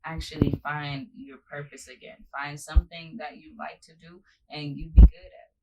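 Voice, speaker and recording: A woman's voice: low-pitched, flat delivery, moderate pace. A young woman speaking as a daughter in a casual family chat, giving emphatic, motivational advice. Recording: distant mic with faint noise.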